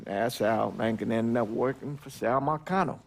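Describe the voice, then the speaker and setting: Game drama: reflective man, male actor, medium register, recounting the past in a measured documentary-like way. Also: mid-range voice, slight background noise, natural voice.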